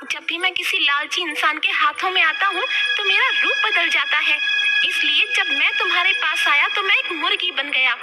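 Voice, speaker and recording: A woman's voice: very high-pitched, moderate pace, loud music behind the voice. A woman voicing a righteous magical hen in a moral-story cartoon, admonishing in a declamatory way. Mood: stern, righteous. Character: magical shape-shifting hen, scolding, righteous moral judge.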